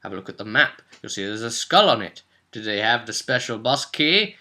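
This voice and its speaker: Bright-voiced man recapping a tabletop game. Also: on the low side, big pitch swings, fairly quick. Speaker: host and game master.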